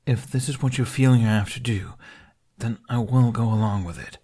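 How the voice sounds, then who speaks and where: A man voicing a fierce, protective dragon in an audiobook story, forceful and exasperated with worry. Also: mid-range voice, normal speed, husky, lightly acted. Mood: grudging, threatening. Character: fierce, anxious, protective male dragon companion.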